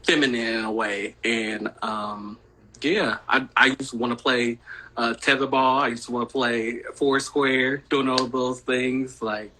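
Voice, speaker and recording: A male podcast guest in casual chat, answering in a relaxed, reflective way. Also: mid-range voice, measured pace, slight background noise, poor audio.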